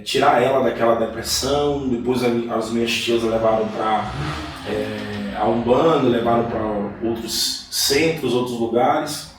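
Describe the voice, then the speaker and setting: A man from Salvador, a guest pastor telling his life story in a measured, earnest way in a podcast interview. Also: mid-range voice, recorded from a distance. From Salvador, Bahia, Brazil. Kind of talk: podcast interview, personal testimony. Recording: echoey room, voice from off-mic.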